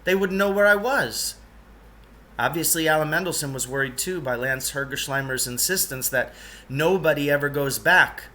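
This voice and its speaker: A man's voice: on the high side, normal speed, pushed and bright, a little hiss or hum. A man reading a children's novel aloud as narration.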